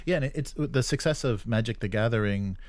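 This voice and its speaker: A man co-hosting a tabletop gaming news show, talking casually about the news in a halting, agreeable way. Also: mid-range voice, dry recording. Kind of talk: casual talk, commenting on news.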